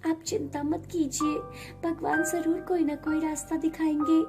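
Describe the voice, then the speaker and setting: A woman voicing a gentle, concerned young farmer's wife in an animated moral story. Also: high-pitched, normal speed, music in the background, voice pushed up. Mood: comforting.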